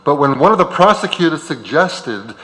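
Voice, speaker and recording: Bright-voiced man narrating in a hall. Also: a little high, very animated, moderate pace. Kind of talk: scripted stage narration. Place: large auditorium. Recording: reverberant auditorium.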